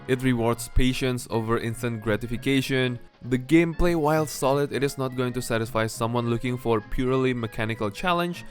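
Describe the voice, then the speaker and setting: A man delivers a casual video game review in a mid-pitched voice. He is blunt and conversational, dropping in offhand asides as he gives his opinions. Guitar music plays softly underneath.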